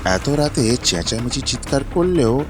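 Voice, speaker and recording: A man's voice: medium pitch, very animated, unhurried. A man playing a cocky, lecherous village gang leader in a cartoon, with a swaggering way of talking. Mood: leering. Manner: swaggering, repeats an oath catchphrase. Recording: music playing behind the voice.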